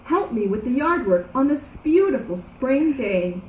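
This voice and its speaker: A woman's voice: high voice, big pitch swings, unhurried, darkish tone, voice pushed up. A practical, parental storybook dad, voiced by a woman calls out to his boy. It echoes in a roomy space with faint noise behind. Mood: cheerful. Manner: calling out, parental. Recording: roomy echo, background noise.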